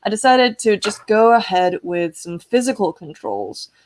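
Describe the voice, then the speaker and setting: A woman's voice: medium pitch, big pitch swings, unhurried, a little mellow. A woman talking casually and enthusiastically to viewers as the host of a tech live stream. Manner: enthusiastic, exclaiming.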